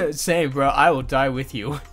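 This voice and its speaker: A man's voice: mid-range voice, takes it slowly. A man co-hosting a podcast in casual, slangy banter with friends. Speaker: podcast co-host. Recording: music playing behind the voice. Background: background music.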